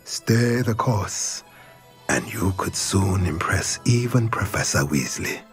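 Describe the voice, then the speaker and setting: Courteous shop owner, lowish and lively voice, game scene. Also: male voice, faint music behind. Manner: formal, measured, at times hushed. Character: polite male shop proprietor, courteous and knowledgeable. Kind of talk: video game cutscene.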